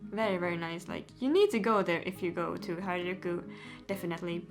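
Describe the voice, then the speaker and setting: Young girl rambling to camera, giggly, lots of likes, soft guitar music under it. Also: lowish voice, fast talker. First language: Swedish. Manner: chatty, giggly, lots of "like" and "yeah". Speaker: vlogger. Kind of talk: casual Q&A chat to camera.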